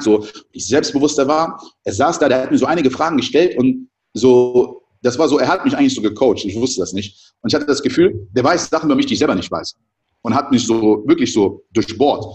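Interview guest, man in his 30s, raised in the Ivory Coast; rapid, animated, filler-heavy storytelling. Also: mid-range voice. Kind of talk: casual interview talk.

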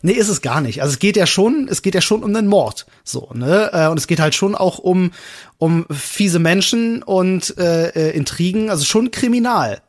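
A man's voice: sits high, lively intonation, moderate pace. A man co-hosting a podcast in casual conversation, colloquial and often searching for his words. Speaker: podcast co-host.

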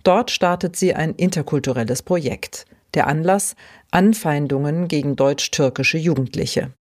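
A woman hosting a podcast, reading scripted narration in a clear, even way. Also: low-pitched, moderate pace. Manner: clear, even read-aloud delivery. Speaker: podcast host.

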